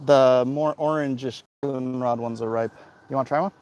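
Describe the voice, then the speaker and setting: A man's voice: medium pitch, steady tone, moderate pace, a little mellow. A man chatting casually and jokily as he hosts a livestream while walking outdoors. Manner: chatty, jokey, reading out viewer comments. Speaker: livestream host. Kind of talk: casual walk-and-talk vlog chat. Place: outdoors, walking through a neighbourhood. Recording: noisy outdoor recording, clicks and handling.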